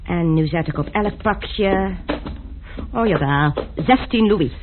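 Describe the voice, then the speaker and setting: A man's voice: high voice, expressive. An actor playing a young male gambler and duelist who talks dryly and mockingly, in a radio play. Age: young adult. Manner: dry, mocking, unhurried. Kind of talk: radio drama acting. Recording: tinny lo-fi radio play recording with hiss.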